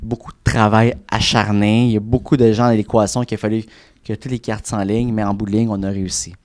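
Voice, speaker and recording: A man in his thirties with a Quebec French accent, a real estate broker chatting casually as a podcast guest, often restarting his sentences and filling pauses. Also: lowish voice, little pitch movement. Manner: rambling, many restarts and fillers.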